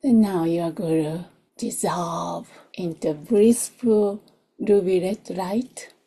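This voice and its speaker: A woman who is not a native English speaker leads a guided meditation in a soft, gentle voice, in short unhurried phrases that often drop toward a whisper. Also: lowish voice, breathy edge. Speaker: meditation teacher. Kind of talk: guided meditation, slow and soothing.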